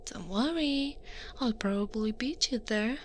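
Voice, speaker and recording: Woman acting as horned Krampus monster woman, teasing and in control, soft breathy half-whisper, unhurried pace, ASMR roleplay, native Italian speaker, faint background noise. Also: on the high side, close to an everyday voice. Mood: explaining, coaxing. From Italy.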